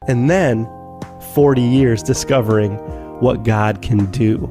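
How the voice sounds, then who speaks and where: A man's voice: medium pitch, steady tone, a little slow. A man presenting on radio in a conversational, reflective scripted monologue style. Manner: reflective, wryly self-deprecating. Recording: music bed behind the voice. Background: light guitar music.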